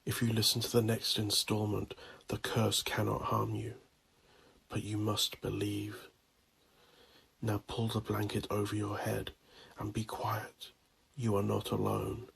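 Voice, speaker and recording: Gravelly, husky male narrator. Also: on the low side, completely flat delivery, measured pace, fairly bright tone. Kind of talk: audio drama narration.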